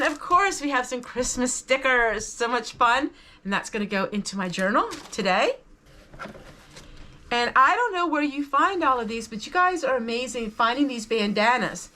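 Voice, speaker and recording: Lady vlogger with a highish voice, chatty and really appreciative, some noise behind her. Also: sharp tone. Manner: enthusiastic, appreciative. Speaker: vlog host. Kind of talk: casual haul chat to viewers.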